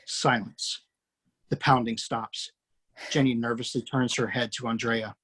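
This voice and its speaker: A man reading screenplay action lines aloud in a steady, matter-of-fact way. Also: mid-range voice, measured pace, slightly rough. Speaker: screenplay reader.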